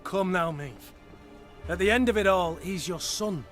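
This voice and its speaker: A man's voice: high-pitched, measured pace, a bit bright, soft background music. A man playing a blunt, frank, loyal war companion in a fantasy video game, speaking colloquially. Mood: frank, sympathetic.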